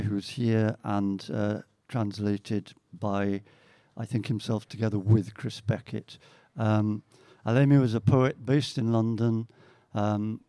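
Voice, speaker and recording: A man hosting a poetry event, with a soft, mellow tone and a fairly low pitch. He gives informal spoken introductions in an earnest, halting manner, and his voice sounds dry and close. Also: no echo. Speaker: event host introducing poets. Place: outdoors, live poetry reading.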